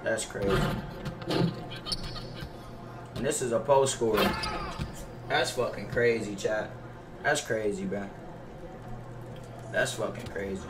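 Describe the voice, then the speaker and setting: A man's voice: lowish voice, slow, long pauses, echoey room, distant mic, noisy background. A young American man in his twenties chatting casually on a gaming livestream, profane, slangy and repetitive in his griping. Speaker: game streamer. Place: indoor home gaming room. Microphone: headset mic.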